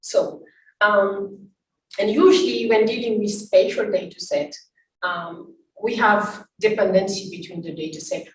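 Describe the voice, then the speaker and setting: A woman from Senegal presents research slides, pausing often and restarting her sentences. She sounds some way from the mic in a roomy space, with a faint hiss underneath. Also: mid-range voice, fairly even intonation. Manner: halting, many fillers and restarts. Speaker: invited academic speaker. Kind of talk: conference talk with slides. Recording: roomy, distant, faint noise.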